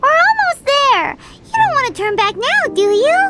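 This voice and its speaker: A woman voicing a tiny, bubbly fairy girl companion in a video game, with a high squeaky childlike voice and excitable reactions. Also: normal speed, loud music behind the voice. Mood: excited.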